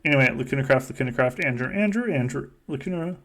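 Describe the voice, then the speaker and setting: Male podcast host, mid-pitched, loose and jokey, casual banter with plenty of swearing. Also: expressive, fast talker, fairly bright tone. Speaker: podcast host running a fan survivor game. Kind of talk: casual joking chat.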